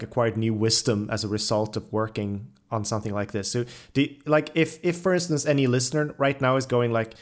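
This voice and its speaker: A man hosting a podcast interview, chatting casually and circling tentatively toward his questions. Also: mid-range voice.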